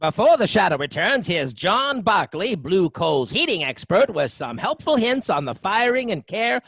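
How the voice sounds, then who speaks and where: Man as high, creaky radio announcer. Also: sing-song, moderate pace, a bit bright, exaggerated voice. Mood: promotional. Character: male vintage radio sponsor announcer.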